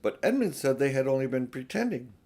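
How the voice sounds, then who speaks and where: A man's voice: mid-range voice, sing-song, fairly quick, dark tone. A man reading a storybook, voicing a sensible older girl who reasons earnestly and a little hesitantly. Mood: earnest, unsure.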